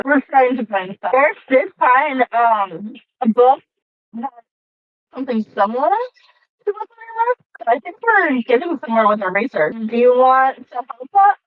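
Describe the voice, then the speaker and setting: Woman gamer, higher voice, sounds like a phone call, really dry, casual roleplay chat. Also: animated, normal speed, no echo. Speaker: tabletop game player. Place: inside a car, on a remote game call. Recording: muffled, thin phone-like call audio.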